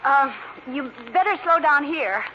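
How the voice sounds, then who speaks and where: An actress playing a polite, uneasy office worker in an old-time radio drama. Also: female voice, sits high, animated, measured pace, pushed and bright, exaggerated voice. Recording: dull, noisy old radio recording.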